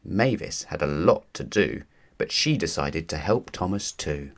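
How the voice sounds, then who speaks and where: A man narrating a children's storybook aloud in an expressive, deliberate storytelling manner. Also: low voice, vocal fry.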